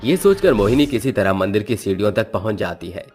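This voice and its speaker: Low-voiced male story narrator. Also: sing-song, fairly quick, darkish tone. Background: background music.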